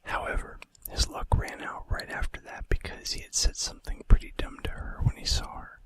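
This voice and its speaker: A man doing an informal ASMR fact video in a breathy, unvoiced whisper with a hesitant delivery. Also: fairly quick. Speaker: ASMR host.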